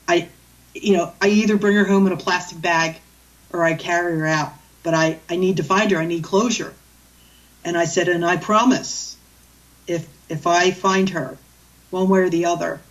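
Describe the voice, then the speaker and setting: A woman's voice: lowish voice, recorded from a distance, rough recording. A woman, a guest animal communicator, telling stories in a rambling, chatty way with many restarts. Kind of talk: storytelling in a radio interview.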